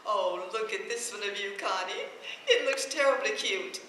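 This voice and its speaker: In a very echoey old radio comedy recording, a scatterbrained older landlady with a bright, animated voice. She is kindly and chatty, dithering along and easily sidetracked. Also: mid-range voice, moderate pace, a little hiss or hum. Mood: chatty, admiring. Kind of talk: vintage radio comedy acting before a laughing audience.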